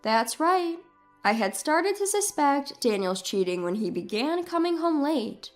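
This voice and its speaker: A woman's voice: high-pitched, big pitch swings, measured pace, soft background music. A woman reading a scripted first-person dramatic story expressively and with care, as its narrator.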